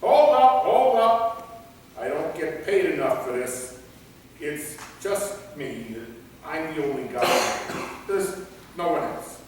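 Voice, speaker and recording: Slow, echoey hapless guard played by a man. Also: on the high side, very animated. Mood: alarmed, then resigned. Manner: halting, bluffing. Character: hapless, underpaid museum security guard, bluffing and resigned. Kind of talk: staged play reading. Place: theater, mic at a distance. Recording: very echoey, distant, faint noise.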